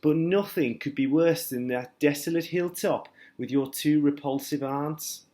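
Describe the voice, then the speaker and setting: A man voicing a gentle, kind female ladybird in a children's storybook reading, with a raised, soothing, motherly voice. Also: a little high, a little slow, pitched above the performer's own voice, natural voice.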